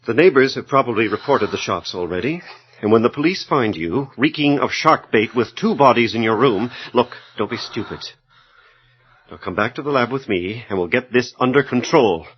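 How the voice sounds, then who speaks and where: A man playing an anxious scientist doctor in a radio drama, deliberate and urgent in narration and dialogue. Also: mid-range voice, lively intonation, husky. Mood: tense reasoning. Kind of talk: radio drama acting with first-person narration. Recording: dull, noisy lo-fi audio drama recording.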